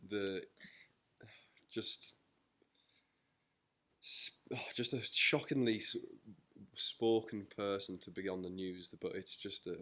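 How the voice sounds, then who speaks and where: A man's voice: low voice, fairly even intonation, slow, pauses often, bright, forceful tone. A young man with a North East English accent, interviewing in a casual, slangy chat. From North East England.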